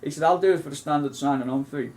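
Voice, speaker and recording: A man's voice: on the high side, fast talker, creaky, darkish tone, slight background noise. A man, co-hosting a casual film chat, rambling with many restarts and asides. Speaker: co-host.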